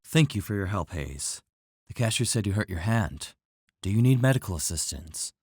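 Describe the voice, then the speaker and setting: An actor playing a grave, courteous male superhero with steady authority in an audio drama. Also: lowish voice, moderate pace, slightly rough, no room sound, clean studio sound. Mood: courteous, decisive.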